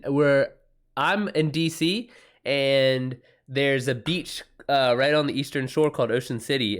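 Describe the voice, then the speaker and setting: A man with an American accent chatting informally on a livestream as host, using slang and reacting aloud to viewer comments. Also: medium pitch. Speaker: livestream host and self-published author. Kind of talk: casual livestream chat, answering viewer comments.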